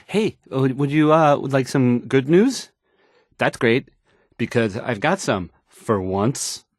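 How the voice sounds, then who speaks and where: An actor playing a nervous, bumbling, insecure young radio DJ in a game, hesitant and stammering through the news. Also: male voice, mid-range voice, expressive, takes it slowly, no echo, studio quality. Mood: awkward. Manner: stammering, hesitant, self-doubting. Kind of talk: halting radio news announcing.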